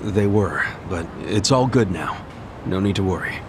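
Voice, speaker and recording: A man's voice: deep voice, lively intonation, a little slow, background noise. An actor playing a plain-spoken, reassuring man in a dramatic video game.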